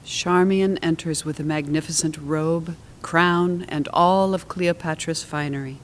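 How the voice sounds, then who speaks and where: A woman's voice: deep voice, steady tone, measured pace, slight background noise. A woman reading scripted narration and stage directions for a classical play.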